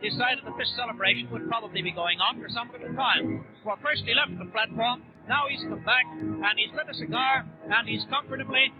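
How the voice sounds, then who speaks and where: A man's voice: very high voice, fairly quick, music in the background. A man giving formal, eloquent live commentary in the descriptive style of a 1930s radio reporter. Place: on the platform in a large convention hall. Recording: dull 1930s radio broadcast, background sound.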